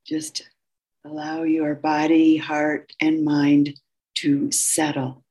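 A woman meditation teacher giving guided instructions in a gentle, unhurried way with long pauses and a husky, breathy voice. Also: low-pitched, monotone.

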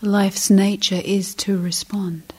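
A woman leading a guided meditation as a teacher, speaking softly and breathily in short, measured phrases with long pauses, often close to a whisper. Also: mid-range voice, flat delivery, husky.

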